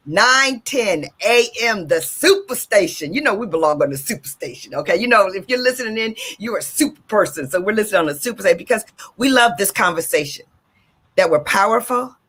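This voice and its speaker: An exuberant woman hosting talk radio with a bright, forceful voice. She is from Detroit and talks in a sing-song way, repeating her lines for emphasis. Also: mid-range voice. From Detroit, USA. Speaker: radio show host.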